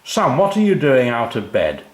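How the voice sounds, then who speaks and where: A calm, reassuring storybook dad, played by a male reader. His mid-pitched voice has expressive movement and sits in a roomy echo. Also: normal speed. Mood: surprised. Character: calm, reassuring father of two children.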